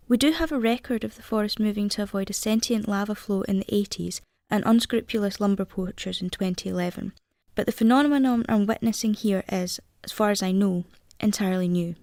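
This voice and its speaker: A woman playing a capable field naturalist who radios in a scripted report for a fiction podcast, brisk and matter-of-fact. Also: mid-range voice, darkish tone, no echo, natural voice. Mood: businesslike, concerned.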